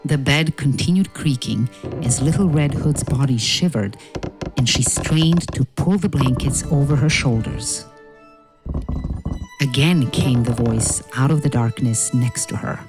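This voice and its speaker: Slow, measured woman storyteller. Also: a bass voice, over music. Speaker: narrator. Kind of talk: scripted fairy-tale narration.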